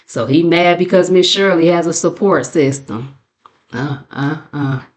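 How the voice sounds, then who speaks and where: A woman's voice: deep voice, a little slow, a little mellow. An American woman hosting a commentary channel, giving a casual gossip recap in a sassy, mocking, colloquial way. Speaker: commentary channel host and narrator.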